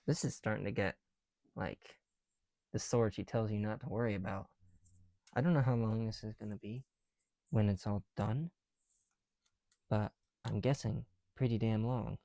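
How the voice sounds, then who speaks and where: A man's voice: on the low side, fairly even intonation, normal speed, gravelly, pauses often, warm tone, dry recording. A man making a casual ASMR video, chatting in a self-deprecating way with small asides as they go. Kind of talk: ASMR, casual chat while building bricks. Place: on the bedroom floor at night.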